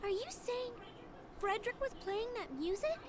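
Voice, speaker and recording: A woman's voice: extremely high pitch, expressive, normal speed, background noise. An actress playing a prim, polite and earnest young girl in a dubbed fantasy video game. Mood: puzzled. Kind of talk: English anime-style video game dub.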